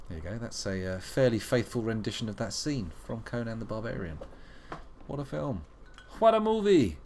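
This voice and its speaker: Playful man, lively voice. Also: lowish voice, normal speed, a little hiss or hum. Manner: playful, joking, muttering asides. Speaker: streamer playing a video game. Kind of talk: live game commentary.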